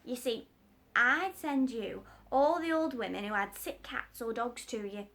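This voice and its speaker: A woman's voice: on the high side, lively intonation, measured pace, a bit bright. A woman voicing a chatty working-class man who sells cat meat, rambling in folksy, stumbling talk. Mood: eager, wheedling.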